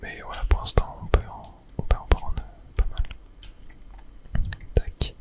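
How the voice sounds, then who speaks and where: Someone whispering thru a game for asmr, super breathy no real voice, just chatting casually as they play. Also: a little slow. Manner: casual, thinking aloud while playing. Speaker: video game streamer and ASMR host. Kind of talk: whispered ASMR gaming commentary.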